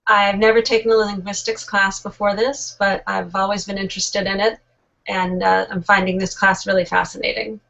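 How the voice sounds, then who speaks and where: A woman's voice: mid-range voice, level tone, normal speed, gravelly, fairly bright tone. A woman from California with a Californian accent, a student talking casually in an online discussion over a video call. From California, United States. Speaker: online course student, librarian. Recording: muffled lo-fi video call audio.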